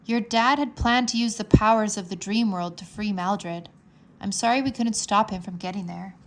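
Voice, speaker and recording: A woman's voice: medium pitch, normal speed, noisy background, close to an everyday voice. A woman voicing a brave, kind young boy dragon master in a children's storybook, eager and sincere. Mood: earnest.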